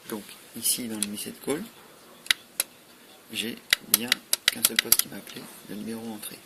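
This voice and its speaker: A man's voice: fairly low pitch, flat delivery, normal speed. A man giving an informal, matter-of-fact, step-by-step product demonstration. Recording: noisy amateur recording with clicks.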